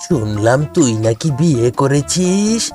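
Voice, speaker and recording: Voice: medium pitch, big pitch swings, takes it slowly, warm tone. An actor voicing a friendly, gullible big-brother animal laundryman in a cartoon, with a chummy, teasing manner. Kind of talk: Bengali cartoon voice acting. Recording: music under the voice.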